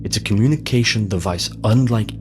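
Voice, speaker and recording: An actor playing a solemn, caring guardian from a secret society in an audio drama. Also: male voice, fairly low pitch, fairly quick, music in the background, lightly acted. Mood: concerned, careful.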